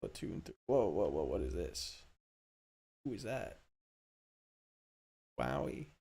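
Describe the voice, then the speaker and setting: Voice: deep voice, very animated, takes it slowly, vocal fry, dark tone, breathy edge. A video game streamer chatting casually and crudely during a livestream, with a conversational, loose delivery. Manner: crude, swearing. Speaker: streamer reading game dialogue aloud.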